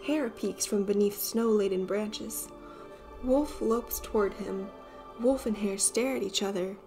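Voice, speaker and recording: A woman reading a children's picture book aloud as an expressive storyteller. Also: medium pitch, unhurried, over music.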